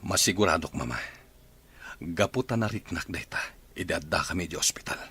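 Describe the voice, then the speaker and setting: A man's voice: low-pitched, brisk, pushed and bright, slightly rough, exaggerated voice. A man playing a remorseful, pleading husband. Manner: pleading, earnest. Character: remorseful husband begging forgiveness. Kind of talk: radio drama acting.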